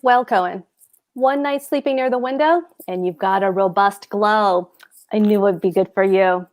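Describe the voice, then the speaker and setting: A woman's voice: medium pitch, normal speed, a little mellow, natural voice. A woman reading the part of a pompous, pedantic husband and petty official in a stage comedy table read. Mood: pompous, smug. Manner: pompous, emphatic, precise.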